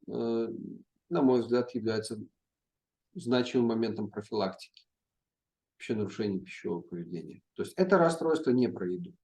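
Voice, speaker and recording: A middle-aged man speaking as a guest professor in an interview, answering in a measured way with many pauses and hesitations. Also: lowish voice, gravelly, darkish tone.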